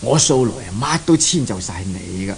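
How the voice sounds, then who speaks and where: A man's voice: lowish voice, expressive, normal speed, creaky. An actor playing an indulgent middle-aged father in a 1970s radio drama, mild and placating, with a Hong Kong accent. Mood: doting, mildly reproving. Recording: noisy old radio recording.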